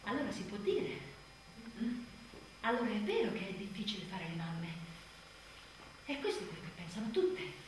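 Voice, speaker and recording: A woman's voice: medium pitch, big pitch swings, normal speed, long pauses, close to an everyday voice. An actress playing an exhausted young mother on the edge of breakdown in a theatrical monologue, repetitive and volatile as she tries to soothe herself. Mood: weary confiding. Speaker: stage actress. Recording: very echoey, distant, noisy stage recording.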